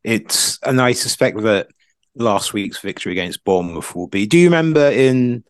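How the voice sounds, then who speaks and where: A man's voice: on the low side, lively intonation, normal speed, no room sound. A man co-hosting a football podcast, casually analysing in rambling, stop-start sentences full of asides.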